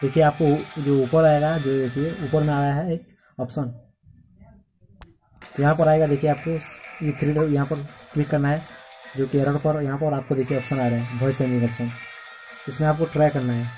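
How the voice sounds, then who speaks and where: A man's voice: fairly high pitch, level tone, moderate pace, warm tone, soft background music. A man narrating a casual step-by-step phone tutorial in a repetitive, instructional manner. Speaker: tech tutorial host.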